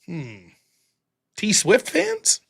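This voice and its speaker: A man hosting a livestream, reading out viewer donations and reacting, with a high-pitched voice and heavy breathing, profane and prone to yelling. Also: drawn-out words, vocal fry, husky. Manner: animated, profane, yells about politics. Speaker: livestream host reading super chats. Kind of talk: casual livestream chat.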